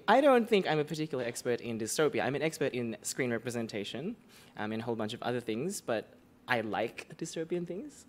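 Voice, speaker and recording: A man with a cough, talking on a stage panel in a rambling, self-deprecating way. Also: mid-range voice. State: has a cough. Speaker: panelist, screen representation expert. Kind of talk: casual panel talk. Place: theatre stage before an audience.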